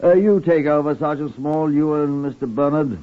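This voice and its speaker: An actor playing a shrewd, courteous police superintendent who puts clipped, probing questions in an old radio drama. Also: male voice, a little high, normal speed, lightly acted. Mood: probing, brisk. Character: shrewd, courteous male Scotland Yard chief superintendent. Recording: muffled, band-limited vintage radio recording.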